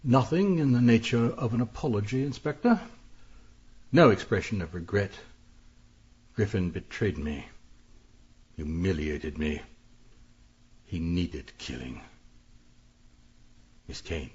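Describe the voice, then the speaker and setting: A man playing a proud, cornered publisher and murderer in a radio mystery, speaking in a husky, formal, dramatic way. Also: sits low, animated, slow pace, long pauses, darkish tone, highs cut off, full character voice. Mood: bitter, resigned.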